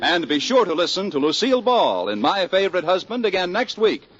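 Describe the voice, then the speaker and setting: A man's voice: high voice, sing-song, brisk, a bit bright. A man announcing in a scripted 1940s radio style, polished and emphatic. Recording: muffled old radio broadcast recording. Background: music.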